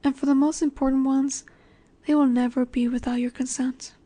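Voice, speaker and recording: A woman playing a dominant, nurturing snake-woman monster in an intimate roleplay audio, speaking in a gentle, coaxing way that often sinks toward a whisper. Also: high voice, flat delivery, moderate pace. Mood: gently firm.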